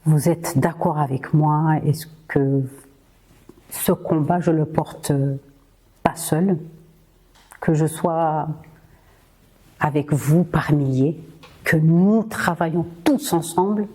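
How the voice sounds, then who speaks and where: A Rwandan-born woman hosts her own podcast in an earnest, rallying monologue. She talks slowly and often hesitates or repeats words. Her voice sits a little low and sounds mellow and husky. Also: rough recording. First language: Kinyarwanda. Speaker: podcast host.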